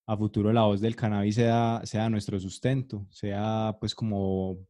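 A man's voice: on the low side, flat delivery, a little slow, mellow. A man, a podcast co-host on a video call, earnestly and steadily explaining plans. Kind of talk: casual chat over a video call.

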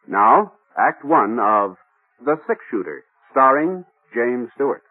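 A man announcing a 1950s radio programme from a script in a formal, measured, declamatory way. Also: medium pitch, big pitch swings, narrow, tinny audio. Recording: thin 1950s radio broadcast with faint music.

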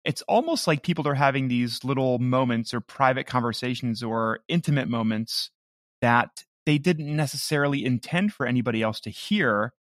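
A man's voice: medium pitch, moderate pace, dry recording, clean studio sound. A man chatting casually as a comedy podcast guest. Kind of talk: casual chat.

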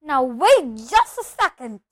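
A woman voicing a huge, gruff, fiery-tempered man in a storybook, booming and rustic in his turns of speech. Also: expressive, measured pace, bright, forceful tone, exaggerated voice. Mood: indignant, thundering.

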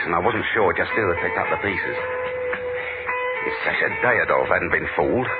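Very deep-voiced, dry British spy. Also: male voice, phone-quality sound. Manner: dry, deadpan, guarded. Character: world-weary, wry British spy, narrating his adventure. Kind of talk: 1970s radio spy drama narration. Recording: thin old radio recording, loud music.

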